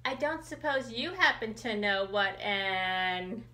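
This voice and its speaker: A woman's voice: medium pitch, lively intonation, slow, pushed and bright. A woman voicing a polite, earnest, hungry anteater in a picture book read aloud, courteous and questioning. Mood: polite, curious.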